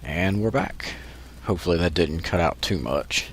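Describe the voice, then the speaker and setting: Man, very deep voice, stuffy nose, laid-back joking let's play commentary. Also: expressive, normal speed, a little hiss or hum. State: stuffy from allergies. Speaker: gameplay commentator.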